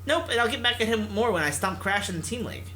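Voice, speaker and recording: A man's voice: high-pitched, animated, quick pace, bright, forceful tone, pitched above the performer's own voice. A man voicing a cocky, breezy young pro gamer in a visual novel, smug and flippant. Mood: smug, unbothered. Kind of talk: visual novel character acting.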